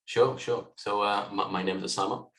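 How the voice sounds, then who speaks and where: Late-twenties man from Lebanon, raised in Kuwait, lowish voice, relaxed and thoughtful, echoey room on a call. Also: moderate pace. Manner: relaxed, thoughtful, with frequent fillers. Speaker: guest, software tech lead. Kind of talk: interview conversation.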